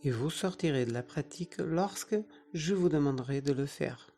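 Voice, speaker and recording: A yoga nidra teacher guiding a relaxation meditation in short, soothing phrases with pauses between them. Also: mid-range voice, dark tone, faint music behind.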